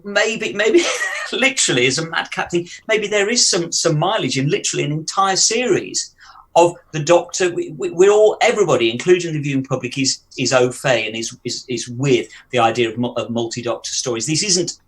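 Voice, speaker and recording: A man's voice: on the high side, animated, brisk, fairly bright tone. A man co-hosting a podcast. He floats ideas aloud, often restarting and repeating words. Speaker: podcast co-host. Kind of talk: casual podcast discussion.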